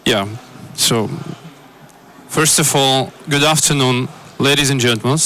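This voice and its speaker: A man with a Ukrainian accent, a native Ukrainian speaker, giving a polite, measured, formal address as a conference panel speaker. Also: mid-range voice, a bit bright, slight background noise.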